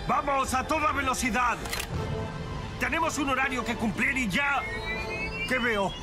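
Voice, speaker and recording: A man playing a harried, blustery train crewman in an animated cartoon dub. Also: very high voice, measured pace, loud music behind the voice.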